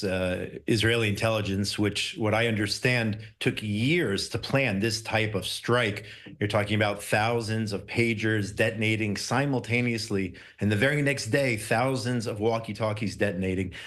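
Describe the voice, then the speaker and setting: A man's voice: on the low side, a bit bright. A man speaking as a correspondent in a live news interview, emphatic and repeating key words for stress. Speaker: Middle East correspondent, interview guest.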